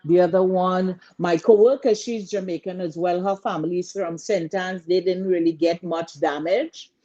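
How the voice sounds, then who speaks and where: A woman from Trinidad with a Trinidadian accent, chatting casually in an animated, colloquial way. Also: on the low side, moderate pace, dull audio. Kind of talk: casual chat.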